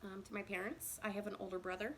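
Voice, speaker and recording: A woman's voice: mid-range voice, steady tone, fast, slight background noise, rough recording. A woman in her thirties from Indiana tells her own story to a phone camera in a casual, rambling way, with many fillers and self-conscious laughs. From Indiana, USA. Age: 30s.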